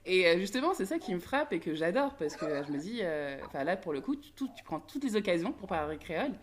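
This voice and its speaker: Lower-voiced woman hosting a chat. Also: sing-song, fast, slight background noise. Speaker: podcast host. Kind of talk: casual interview.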